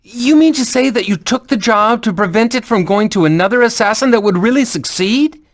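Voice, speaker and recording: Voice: medium pitch, expressive, fairly quick, no echo, clean studio sound. A voice actor in game recording outtakes, speaking in a playful, sassy, teasing way.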